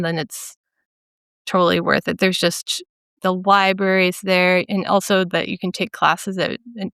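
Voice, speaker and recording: Soft-voiced woman with a Minnesota accent. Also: lowish voice, smooth, dry recording, clean studio sound. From central Minnesota. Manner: thoughtful, hesitant, many ums and restarts. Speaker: painter, interview guest. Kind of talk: casual interview conversation.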